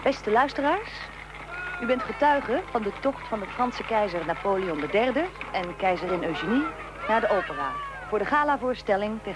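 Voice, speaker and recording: A woman's voice: fairly low pitch, noisy background, natural voice. A woman playing a tense eyewitness reporter in a radio drama, commenting dramatically on history as it unfolds. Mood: solemn, excited. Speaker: reporter and interviewer.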